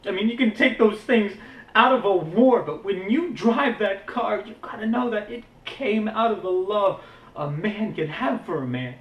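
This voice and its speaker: A man playing an earnest, guilt-burdened young war veteran in a halting, intense, confessional stage monologue. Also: sits high, expressive, a bit bright, distant mic. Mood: impassioned. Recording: roomy, echoing indoor recording.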